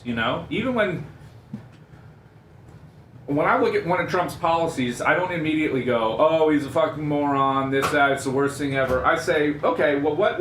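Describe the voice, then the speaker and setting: Man, higher-pitched, sharp bright voice, emphatic opinion talk, roomy echo. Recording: roomy echo with background noise.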